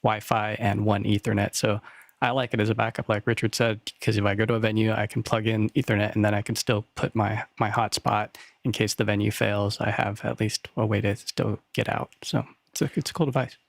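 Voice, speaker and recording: A man with a low, creaky voice gives casual technical advice as a panelist. His intonation stays quite flat and the sound is dry. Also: a little fast, no room sound. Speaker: panelist giving equipment advice.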